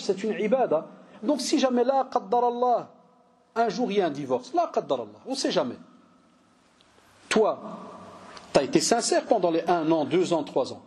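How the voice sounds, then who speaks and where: A man in his forties from Belgium, an imam giving a religious lecture, telling lively stories and mixing in Arabic phrases. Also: high-pitched. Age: 40s. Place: mosque cultural center hall.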